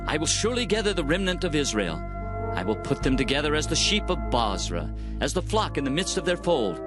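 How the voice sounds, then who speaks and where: A man's voice: a little high, big pitch swings, fairly quick. A man playing an impassioned prophet in a dramatized scripture reading, declamatory and theatrical as he laments and warns his people, with music behind. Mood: urgent warning. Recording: music under the voice.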